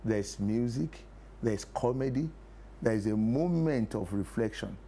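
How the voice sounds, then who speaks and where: A man's voice: mid-range voice, lively intonation, normal speed, warm tone, slight background noise. A man with a Nigerian accent, speaking conversationally as an interview guest. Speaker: actor and producer, interview guest.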